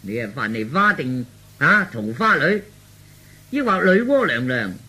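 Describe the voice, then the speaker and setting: Pompous Cantonese imperial envoy for a storybook, played by a man, slow, rough-voiced, haughtily declaiming challenges. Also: on the high side, sing-song, bright, forceful tone, pitched below the performer's own voice, exaggerated voice. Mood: haughty challenge.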